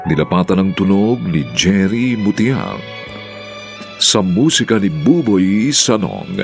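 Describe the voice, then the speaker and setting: Deep, dramatic Filipino male radio announcer. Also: vocal fry. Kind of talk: formal scripted radio announcing.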